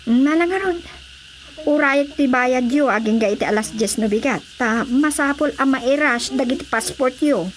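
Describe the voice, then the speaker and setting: An actress playing a brisk, businesslike female recruiter with a smooth sales manner and a Filipino accent in a radio drama. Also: high-pitched, natural voice, poor audio.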